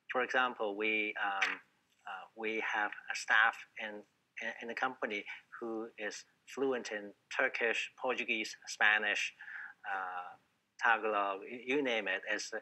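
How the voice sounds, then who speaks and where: A man from Hong Kong speaks on a business panel as a company founder. His voice is lowish and bright. He talks hesitantly, with plenty of fillers. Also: rough recording. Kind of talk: panel discussion.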